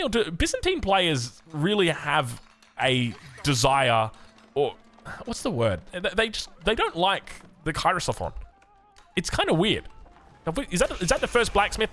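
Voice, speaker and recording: A man's voice: high-pitched, a bit bright. An Australian man giving live strategy game commentary in a chatty, enthusiastic, self-correcting way. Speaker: esports caster of a strategy game.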